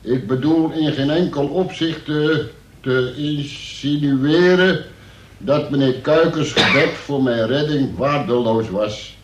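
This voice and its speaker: An actor playing an earnest police sergeant in a radio play, stiffly and formally reading out a statement in an echoey room, stumbling at times. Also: male voice, mid-range voice, a little hiss or hum, close to an everyday voice.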